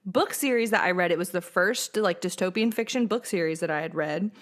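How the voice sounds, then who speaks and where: A woman's voice: fairly low pitch, brisk. A young woman with an American accent chatting casually as a podcast co-host, slangy and enthusiastic. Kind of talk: casual chat about movies and pop culture.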